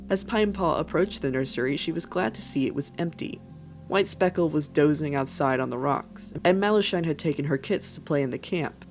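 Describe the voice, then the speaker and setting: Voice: mid-range voice, darkish tone. A narrator reading an animal adventure story as scripted narration. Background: faint background music.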